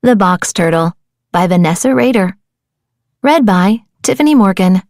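A woman narrating a children's storybook in a dramatic, playful and deliberate way. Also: medium pitch, lively intonation, darkish tone, dry recording, clean studio sound. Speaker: audiobook narrator.

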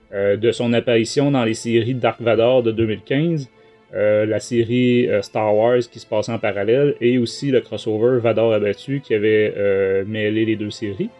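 A man's voice: fairly low pitch, steady tone, normal speed, dark tone, faint music behind. A man with a Quebec French accent hosting a casual book talk podcast, speaking in hesitant, broken-up phrases. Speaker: podcast host.

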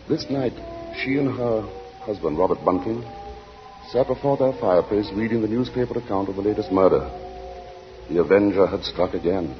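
A man narrating a suspense story gravely and dramatically, in 1940s radio style. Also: lowish voice, lightly acted. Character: grave storyteller of a murder mystery. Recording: muffled old radio, music underneath.

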